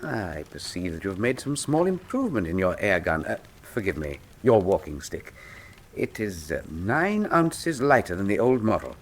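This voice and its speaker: Theatrical, animated English detective. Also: male voice, low-pitched, normal speed, slight background noise. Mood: dry sparring. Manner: theatrical, precise, formal diction. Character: shrewd, imperious, wryly witty English male detective. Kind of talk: full-cast radio drama acting.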